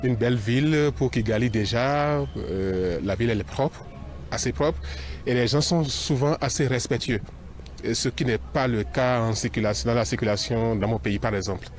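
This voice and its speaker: A man's voice: mid-range voice, normal speed. A man with a Beninese accent speaking spontaneously as an interviewed teacher, repeating words as he builds his sentences. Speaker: volunteer French teacher, interviewee.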